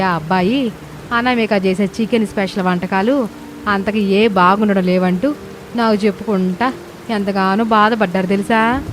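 A grumbling older village mother-in-law voiced by a woman from a cartoon family tale. She scolds and teases in rustic everyday speech at a middling pitch. Faint rainy noise sits behind her. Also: natural voice. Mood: teasing. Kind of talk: Telugu moral-story cartoon voice acting.

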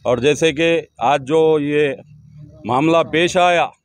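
A man's voice: a little high, slow. A middle-aged man making a formal, emphatic public appeal to the media, speaking outdoors into a cluster of press microphones. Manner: formal, emphatic, repeats demands. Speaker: community spokesman. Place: outdoors on a street before a crowd.

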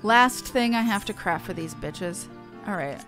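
A woman's voice: mid-range voice, animated, unhurried, fairly bright tone. A woman game streamer giving casual, blunt commentary, often muttering to herself and swearing. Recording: faint music behind.

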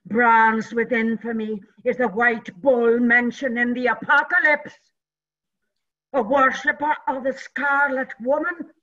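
A woman with a bright, high voice reads a literary tirade in a slow, deliberate, declamatory way. Her voice comes through dull, lo-fi video call audio. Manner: declamatory, dropping to whispers. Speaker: reader of a dramatic passage. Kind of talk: dramatic literary reading.

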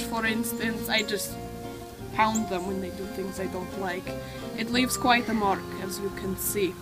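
A teenage girl acting a calmly sinister female mafia boss in a mock documentary interview, matter-of-fact and full of hesitant ums, recorded outdoors on a snowy deck with music behind. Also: a little high, close to an everyday voice. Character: deadpan female mafia killer, cheerfully sinister.

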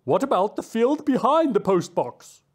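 Woman voicing a husky old male bird, loud, impatient, storybook, high voice. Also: very animated, normal speed. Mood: bossy.

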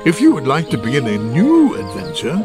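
Storybook game narrator: genial, measured, expressive intonation over music. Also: male voice, medium pitch. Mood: genial, instructive.

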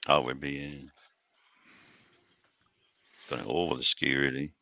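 Very deep, gravelly man. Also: flat delivery, measured pace, sharp tone, dry recording, muffled recording. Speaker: guest commenter on a live stream. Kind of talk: casual banter.

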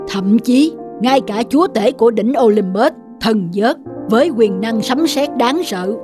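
A woman's voice: fairly high pitch, expressive, measured pace, over music. A woman voicing a proud, protective sun god father in a cartoon, speaking formally and solemnly. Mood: grave warning. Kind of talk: dubbed mythological cartoon acting.

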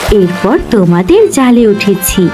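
A woman voicing a kind, grateful young fairy in a children's cartoon, speaking gently in measured phrases. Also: mid-range voice, lightly acted. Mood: gentle, grateful. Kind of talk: children's cartoon story dubbing. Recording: loud music behind the voice. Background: music.

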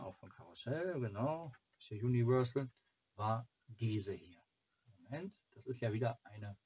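A man in his forties hosting a casual fan club video, talking haltingly and musing to himself as he reviews merchandise. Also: mid-range voice, background noise. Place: small cluttered home room, indoors.

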